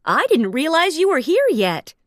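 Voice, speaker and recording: Seeking a woman to play an excitable, cheerful storybook mouse, male, high-voiced and animated. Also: moderate pace, no room sound, studio quality.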